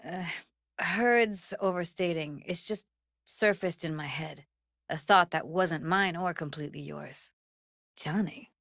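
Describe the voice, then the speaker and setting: An actress playing a tough, sardonic but warm-hearted young woman in a video game, talking in casual, clipped slang. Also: fairly low pitch, unhurried, creaky, no echo, clean studio sound. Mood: subdued. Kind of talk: naturalistic video game voice acting.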